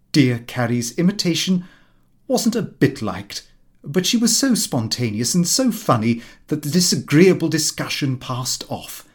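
British man narrating with lively, swooping pitch. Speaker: audiobook narrator. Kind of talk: scripted comic diary narration, reading in character.

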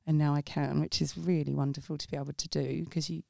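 Low gravelly Englishwoman with a mixed accent. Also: flat delivery, quick pace, warm tone, no echo, studio quality. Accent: English, mixed Sussex and Essex with a touch of Australian. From England. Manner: rambling and chatty, with frequent restarts and laughs. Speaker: guest, jazz singer. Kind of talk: casual interview chat.

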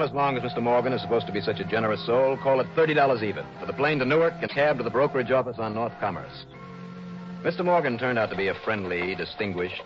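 An actor playing a tough, wisecracking male insurance investigator in a 1960s radio drama. He talks in a brisk, blunt, sardonic way and also narrates. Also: on the low side, natural voice. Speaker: lead character and narrator. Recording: dull old radio broadcast with music.

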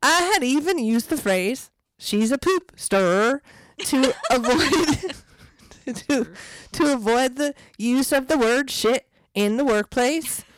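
A woman podcast co-host reads a comic essay and jokes about her own skills, breaking into laughter along the way. Her voice sits somewhat high and sounds dry and close, with no room around it. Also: lively intonation, slow pace, no echo, poor audio. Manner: self-deprecating, laughs often. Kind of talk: casual chat and reading a humorous essay.

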